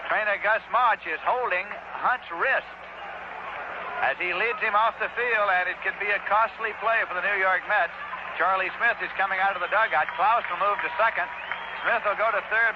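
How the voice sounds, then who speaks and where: A man with an American accent calling baseball play-by-play in a measured, explanatory way on an old 1960s radio broadcast. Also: high-pitched, lo-fi sound. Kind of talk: live baseball play-by-play commentary. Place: outdoor ballpark broadcast. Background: loud noise, stadium ambience.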